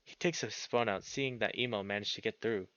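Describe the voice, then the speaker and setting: A man reading a visual novel aloud as expressive narration, breaking off into casual, sweary, slangy reactions. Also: fairly low pitch, brisk, dry recording, lo-fi sound. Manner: animated, sweary, slangy interjections.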